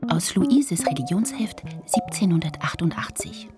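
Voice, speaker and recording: A woman making scripted radio play announcements of scenes and sources in a breathy, partly whispered voice. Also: on the low side, very animated, unhurried, over loud music.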